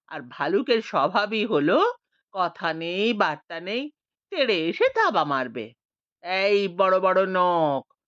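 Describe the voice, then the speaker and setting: Woman reading a story, doing a bragging old retired cop, booming, slow and bouncy, kinda muffled. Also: fairly bright tone, full character voice. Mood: irritated. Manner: booming, swaggering talk. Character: boastful retired male police inspector, older. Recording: dull lo-fi dry recording.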